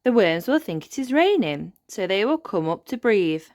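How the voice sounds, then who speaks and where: Old male elf played by a woman, storybook sage. Emphatic, brisk, knowing, lively swings in pitch. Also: dry recording, clean studio sound, lightly acted. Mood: instructive. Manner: emphatic, declaiming.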